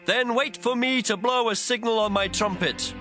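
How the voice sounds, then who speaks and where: A high-pitched, fiery army commander. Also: male voice, normal speed, over music. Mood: rousing, commanding. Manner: commanding, declamatory delivery. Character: bold, fiery ancient Israelite army commander. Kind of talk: dramatized audio Bible acting.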